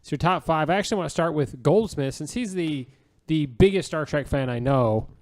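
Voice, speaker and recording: Man, somewhat high voice, joking podcast host in casual group chat. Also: expressive, brisk, a little mellow.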